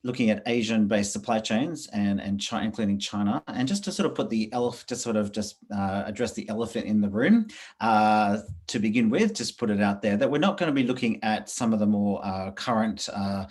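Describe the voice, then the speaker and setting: A man's voice: on the low side, brisk, darkish tone. A man with an Australian accent hosting a webinar from home, rambling with many fillers. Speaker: webinar host. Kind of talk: casual introduction. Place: home, indoors.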